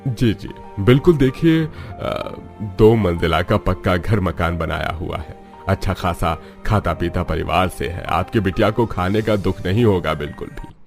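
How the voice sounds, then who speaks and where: A man's voice: low voice, measured pace, vocal fry, soft background music. A man voicing a mild, folksy middle-aged father of a household in a cartoon story. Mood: polite.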